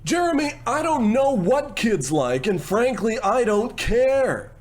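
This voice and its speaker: A man playing a brash, high-voiced producer. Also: sing-song, slow pace, exaggerated voice. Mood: dismissive, pushy. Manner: smooth-talking, condescending, gushing endearments. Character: brash, smarmy, domineering male TV producer. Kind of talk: old-time radio comedy acting.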